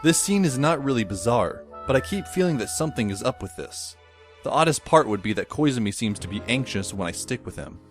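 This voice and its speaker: A man's voice: medium pitch, lively intonation, fairly quick, faint music behind, natural voice. A man playing a wry, put-upon teenage boy, narrating in the first person for an audio drama. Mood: wry, observant.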